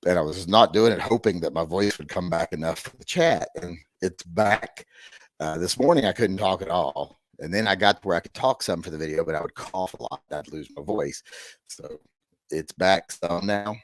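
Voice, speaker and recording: A middle-aged man with a hoarse, gravelly voice hosting a rambling live-stream chat with wry, self-deprecating asides. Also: low voice, moderate pace, slight background noise. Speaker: host.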